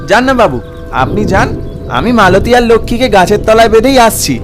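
A man voices a young village goatherd in a cartoon. The boy sounds high-pitched, eager and respectful, repeating his urgings insistently. Faint music runs underneath. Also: lively intonation, moderate pace. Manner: coaxing, deferential, repeats urgings. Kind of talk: cartoon voice acting.

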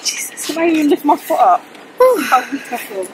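A woman's voice: sits high, expressive, fairly quick, noisy background. A woman chatting casually with a friend inside a parked car after a hike. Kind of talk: casual chat.

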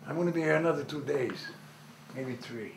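Brief: male actor as an aging mobster, gravelly voice, guarded and threatening, roomy noisy scene audio. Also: animated, fairly quick, lightly acted. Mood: warm. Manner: low, clipped and threatening.

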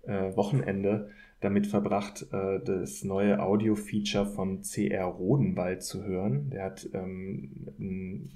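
A man with a fairly low, soft-edged voice is being interviewed on a podcast. He talks in a conversational but academic way. His delivery is thoughtful and a little hesitant. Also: fairly even intonation, slow. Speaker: podcast guest, political scientist.

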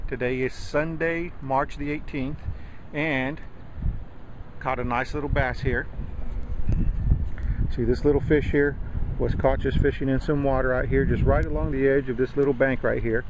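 A man hosting his own fishing video, talking casually to viewers while catching and releasing bass at a pond edge. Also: fairly even intonation, normal speed, pauses often. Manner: easygoing, addresses viewers as ladies and gentlemen. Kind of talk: casual outdoor fishing commentary. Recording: muffled lo-fi camera audio with outdoor wind noise.

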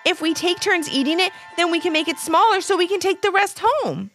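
A woman's voice: extremely high pitch, brisk, fairly bright tone, faint music behind, voice pushed up. A woman voicing an eager, anxious young male monkey in a children's storybook, earnest and friendly. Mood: hopeful, eager.

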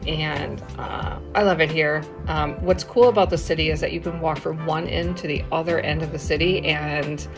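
A woman's voice: deep voice, steady tone, normal speed, few pauses, music in the background. An American woman in her forties vlogging in a casual, chatty way, laughing often and dropping ums and uhs. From United States. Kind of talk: casual vlog narration. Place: small bright room indoors, then walking outdoors in the city.

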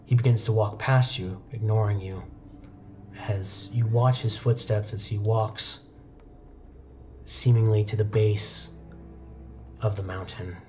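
A young man with a mellow, dark-toned voice runs a tabletop roleplaying game as game master. He tells the story in measured phrases with pauses, and soft music plays behind him. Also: fairly low pitch, steady tone. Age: young adult. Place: indoor studio set, seated at a desk. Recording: background music under the voice.

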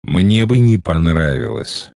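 A man slowly reading out short phrases for a language lesson, with careful, deliberate pronunciation. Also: low-pitched, big pitch swings, gravelly, mellow, no room sound, clean studio sound. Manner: slow, deliberate enunciation. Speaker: Russian phrase reader. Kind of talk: slow scripted phrase reading.

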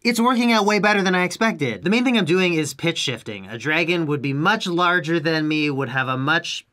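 Congested young man with a bright voice. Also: a little high, expressive, a little fast. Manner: chatty, upbeat, explains as he goes. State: congested with a cold. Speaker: music producer and YouTuber. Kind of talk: casual tutorial vlog. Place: home music studio with foam panels.

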